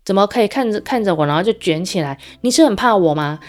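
A woman's voice: medium pitch, animated, fast, a little mellow, close to an everyday voice. A woman voicing a sly, scheming male fox in a children's story. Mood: indignant.

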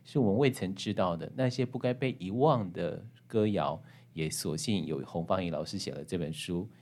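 A man's voice: deep voice, normal speed, a little mellow. A man with a Taiwanese Mandarin accent, hosting a conversational book interview on a podcast.

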